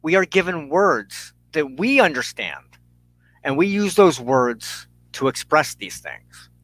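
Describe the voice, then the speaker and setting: A man delivers an impassioned, sermon-like monologue at an unhurried pace. His voice sits a little higher than average, and he speaks deliberately, repeating phrases for emphasis and leaving dramatic pauses. The sound is close and dry, with hardly any room in it. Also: sing-song, a bit bright, dry recording, rough recording. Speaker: preacher and host of a spiritual show.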